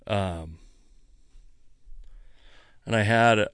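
A man's voice: fairly low pitch, extremely slow, fairly bright tone, smooth, no echo, clean studio sound. An American man, a comedian and podcast host, rambling casually and self-deprecatingly with some swearing, a little tipsy. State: mildly tipsy after a few drinks. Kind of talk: casual solo podcast monologue.

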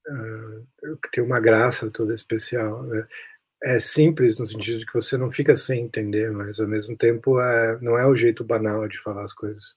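A man's voice: fairly low pitch, little pitch movement, moderate pace, husky. A man with a Brazilian accent talking casually and reflectively on a podcast, sometimes hesitating as he searches for words. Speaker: podcast host. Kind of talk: casual chat about literature.

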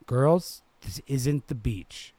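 A man acts out a middle-aged store manager for a storybook reading. He is stern and fussy, scolding with heavy emphasis in a raised, slightly husky voice. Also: mid-range voice, animated, slow, mellow, dry recording, voice pushed up.

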